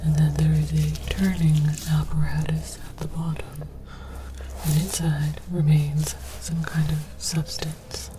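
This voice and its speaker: A woman playing a scholarly alien archaeologist in a whispered, deliberate ASMR lecture, fascinated by human artifacts. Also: low voice, completely flat delivery. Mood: hushed scholarly fascination. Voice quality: breathy whisper. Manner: slow, deliberate, mock-scholarly. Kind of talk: ASMR roleplay, whispered mock-documentary lecture. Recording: clear background noise with clicks.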